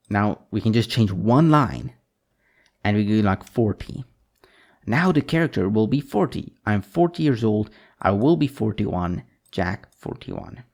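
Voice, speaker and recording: A man's voice: lowish voice, a little mellow. A man teaching programming over a screen recording, reading code aloud as he types it. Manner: talks while typing code, reads out strings. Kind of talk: screen-recorded coding tutorial.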